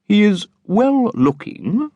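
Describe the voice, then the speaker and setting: A man voicing a pompous, sanctimonious middle-aged father in an audiobook, speaking slowly and distinctly in an unctuous, moralising way. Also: sits high, big pitch swings, mellow, no room sound, highs cut off, pitched above the performer's own voice, exaggerated voice. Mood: smugly virtuous.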